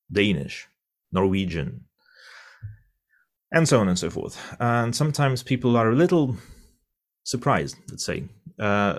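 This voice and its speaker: Deep-voiced man, thoughtful. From Russia. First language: Russian. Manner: thoughtful, many fillers and restarts. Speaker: interpreter. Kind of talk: conversational interview answer.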